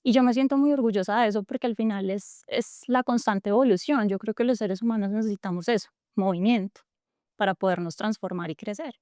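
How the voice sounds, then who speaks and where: A woman in her thirties with a Colombian coffee-region accent, answering interview questions in an earnest, reflective way. Her voice is smooth and clear at a middle pitch. The sound is close and dry, with no room echo. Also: fairly quick, mellow. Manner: earnest and reflective, frequent fillers and restarts. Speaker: guest, tech entrepreneur.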